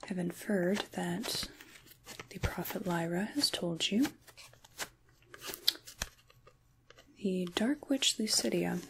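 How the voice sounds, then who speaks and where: A woman playing an ancient, kindly witch in a soft-spoken ASMR role-play, with breathy, whispery speech and a musing, wry, gently reassuring manner. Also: mid-range voice, noisy background. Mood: calm, wry.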